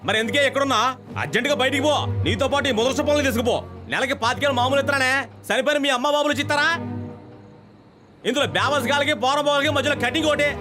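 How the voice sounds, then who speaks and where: A piercing, very high male voice over film music, racing along. a greedy bully who scolds and threatens harshly as he demands money. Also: very fast. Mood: angry, threatening. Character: bullying, greedy exploiter shaking down a young pickpocket. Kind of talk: film drama dialogue.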